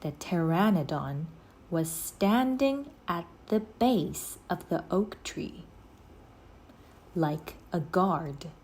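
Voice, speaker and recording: A woman reading a children's story aloud in an expressive, scripted storytelling style. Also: fairly low pitch, very slow.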